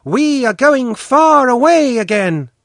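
Man doing an earnest, high-voiced little girl. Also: big pitch swings, measured pace, no room sound, studio quality, exaggerated voice. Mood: friendly, ringing. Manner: earnest, ringing. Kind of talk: storybook character reading.

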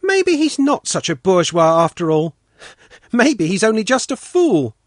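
Man voicing a high-pitched kind little storybook girl. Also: very animated, unhurried, dry recording, studio quality. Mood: pitying. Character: small curious kind-hearted girl, daughter of the narrator.

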